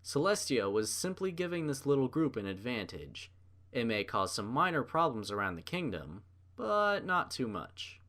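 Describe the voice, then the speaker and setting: A male narrator reads fan fiction aloud. His mid-pitched voice is highly animated and varies a lot, in an expressive storytelling style. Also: moderate pace.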